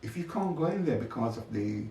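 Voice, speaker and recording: A man's voice: mid-range voice, animated, brisk, warm tone. An older man being interviewed, talking reflectively about his experiences in his own words. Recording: echoey, distant mic, faint noise.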